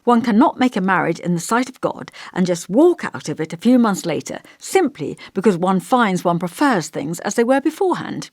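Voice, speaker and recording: Woman voicing a fussy, genteel old vicar, swooping pitch. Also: big pitch swings, normal speed, few pauses, darkish tone, no echo, clean studio sound. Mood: earnest, reproving. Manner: pompous, old-fashioned, genteel, musing. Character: elderly male village vicar, kindly, fussy, long-winded. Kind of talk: audiobook character dialogue.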